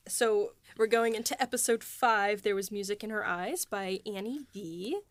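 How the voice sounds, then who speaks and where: A woman's voice: medium pitch, moderate pace, dry recording. A woman co-hosting a behind-the-scenes podcast, chatting casually with lots of fillers and laughs. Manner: chatty, many fillers, giggly. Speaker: podcast co-host and writer.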